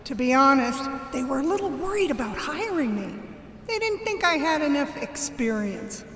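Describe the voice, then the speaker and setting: A confident, gossipy woman reporter in a radio drama. Her voice is on the high side. It rings in a reverberant space with some ambient noise. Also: very animated, moderate pace, fairly bright tone. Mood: eager, confiding. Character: eager, gossipy young female newspaper reporter. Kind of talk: contemporary radio drama acting. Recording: reverberant corridor with background ambience.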